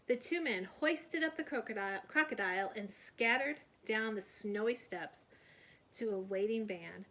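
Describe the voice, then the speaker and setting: A woman reading a children's story aloud in an expressive storyteller's manner. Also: medium pitch, normal speed. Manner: expressive, animated delivery of dialogue. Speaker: storybook reader. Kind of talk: scripted read-aloud storytelling.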